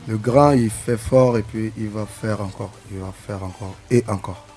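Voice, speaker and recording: Low-voiced man, halting speech. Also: fairly even intonation, a little mellow. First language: Sara. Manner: halting, repeats words while searching for them. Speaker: musician guest. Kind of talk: casual interview answers.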